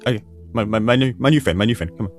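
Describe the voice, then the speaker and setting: A man's voice: mid-range voice, very fast, soft background music. A man with a New Zealand accent playing a tabletop role-playing game, bantering casually in character.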